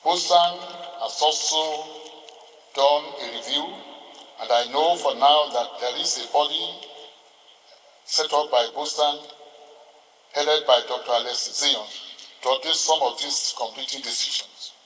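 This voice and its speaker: A man with a Nigerian accent giving a formal courtroom address as a senior lawyer, speaking in a deliberate, halting, oratorical way. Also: fairly high pitch, fairly bright tone. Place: large hall, ceremonial court session. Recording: echoey hall, distant microphone.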